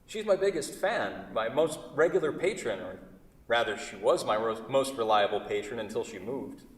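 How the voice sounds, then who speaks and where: A man's voice: medium pitch, lively intonation, normal speed, natural voice. A solitary craftsman acted by a man, polite but guarded, feeling his way hesitantly through his lines. The staged reading rings with strong echo and sounds some way from the microphone. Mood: polite, flustered. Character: guarded, hesitant solitary male woodworker artist. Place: theatre stage. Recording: very echoey hall, distant microphone.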